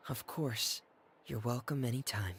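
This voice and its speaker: An actor playing an earnest, loyal young man in a video game, speaking in a measured, solemn way. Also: medium pitch, fairly bright tone, a little hiss or hum. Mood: sincere, grateful. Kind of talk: scripted video game dialogue.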